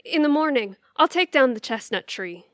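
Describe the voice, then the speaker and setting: A young woman playing a tentative, gently teasing grown daughter in an audio drama. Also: high voice, lively intonation, normal speed, no echo, studio quality. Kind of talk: audio theatre acting.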